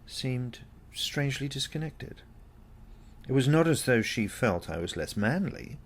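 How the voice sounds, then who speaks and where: A man reading a scripted first-person story aloud in a measured, reflective manner with deliberate phrasing. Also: lowish voice, gravelly, a little hiss or hum. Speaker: story reader.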